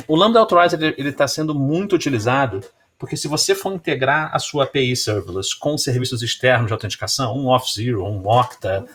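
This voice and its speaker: Husky-voiced Carioca man giving a tech talk. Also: medium pitch, fairly quick, fairly bright tone. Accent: Carioca Brazilian Portuguese. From Rio de Janeiro, Brazil. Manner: explanatory, often tags lines with "né?" and "tá?". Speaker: conference speaker, security researcher. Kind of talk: technical conference talk.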